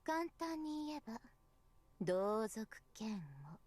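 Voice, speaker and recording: A woman playing a possessive, coolly amused young woman in a visual novel, teasing with soft, breathy whispers. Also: fairly high pitch, big pitch swings, unhurried, exaggerated voice. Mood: taunting, possessive. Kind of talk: Japanese visual novel voice acting.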